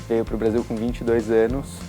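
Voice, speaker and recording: A man answering interview questions in a relaxed, explanatory way. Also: medium pitch, normal speed. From Brazil. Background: music.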